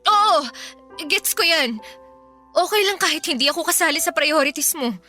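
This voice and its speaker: A high-voiced pleading lovestruck girlfriend. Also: expressive, moderate pace, bright, forceful tone, faint music behind, heavily acted. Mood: eager, pleading. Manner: pleading, eager to please. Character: clingy, pleading lovestruck young woman. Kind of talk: radio drama acting.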